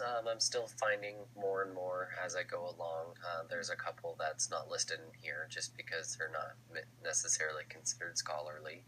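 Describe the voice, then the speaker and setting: A young man gives a class presentation while fighting a cold, his deep voice sounding stuffy and nasal yet bright. He hesitates often, filling gaps with ums and restarting sentences. A faint hum sits under the recording. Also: low-quality recording. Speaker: student presenter.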